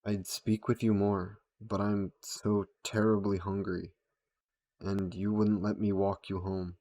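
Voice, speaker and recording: A man's voice: lowish voice, level tone. A man playing a courtly, seductive vampire in an intimate roleplay audio, speaking formally and coaxingly to the listener. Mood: seductive, soothing. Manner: formal, gentlemanly, coaxing, unhurried. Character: courtly, seductive male vampire, hungry and predatory.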